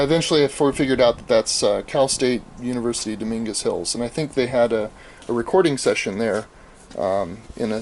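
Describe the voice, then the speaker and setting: Middle aged american guy, average pitch, explaining stuff casually and kinda haltingly, noisy homemade video. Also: a little mellow. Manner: halting, explanatory, thinking aloud. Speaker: amateur audio archivist showing his tapes. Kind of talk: casual unscripted show-and-tell to camera. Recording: clearly noisy home video, room ambience.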